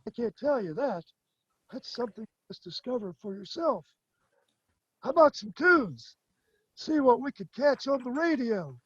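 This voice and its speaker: A man playing an old, half-deaf grandfather shoemaker who talks in a slow, wandering way. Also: very high voice, big pitch swings, breathy edge, no room sound, highs cut off, full character voice. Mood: musing. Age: older. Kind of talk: stage play acting.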